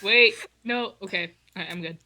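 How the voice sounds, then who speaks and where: Young woman, bright voice, laughing banter, casual chat. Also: medium pitch, normal speed. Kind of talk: casual chat during a dramatic reading.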